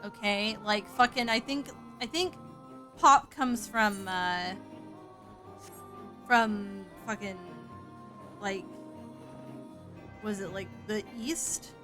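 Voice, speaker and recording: A woman's voice: medium pitch, extremely slow, long pauses, over music. A woman from the American South with a light Southern accent, chatting casually and cursing freely while streaming a game. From Southern United States. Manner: chatty, profane, animated. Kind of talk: casual gaming stream chat.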